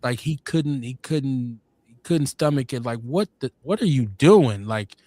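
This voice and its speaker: A man's voice: medium pitch, unhurried, no room sound. Laid-back man telling stories, dry sound. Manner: laid-back, rambling, animated when quoting others. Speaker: podcast host. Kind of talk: casual storytelling chat.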